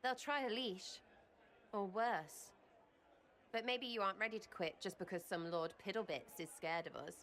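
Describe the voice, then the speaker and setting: A woman's voice: medium pitch, normal speed, fairly bright tone, lightly acted. A woman voicing a cheeky, irreverent young rebel prankster in a video game, with blunt, playful, slangy delivery. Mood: earnest, wry. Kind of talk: video game cutscene acting.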